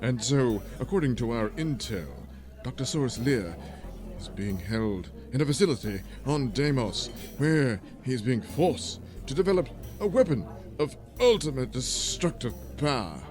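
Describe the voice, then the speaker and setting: A man's voice: mid-range voice, lively intonation, measured pace, over music, close to an everyday voice. A man playing a serious, resolute resistance commander giving briefings in a space-opera radio play. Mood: grave.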